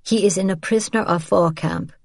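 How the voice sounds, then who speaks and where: A woman's voice: fairly low pitch, fairly quick, a little mellow, no echo, studio quality. A woman reading a stiff, formal army captain. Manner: stilted, overly polite phrasing.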